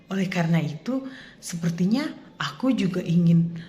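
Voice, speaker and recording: A woman voicing a calm, humble turtle in a children's fable, speaking in a measured, earnest way. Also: lowish voice, dull audio, lightly acted. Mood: calm, agreeable. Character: calm, humble, steady turtle answering a boastful rival.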